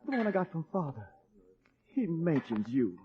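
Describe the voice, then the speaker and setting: An actor playing an eager, earnest eighteen-year-old son in an old-time radio drama. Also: male voice, on the high side, very animated, normal speed, slight background noise. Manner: earnest, pleading. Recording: muffled old radio recording.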